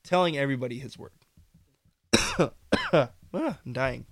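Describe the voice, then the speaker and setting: A man co-hosting a podcast in casual faith discussion, earnest and rambling, his voice going hoarse. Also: mid-range voice, unhurried, a bit bright, no room sound, clean studio sound. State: losing his voice, coughing. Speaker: podcast co-host.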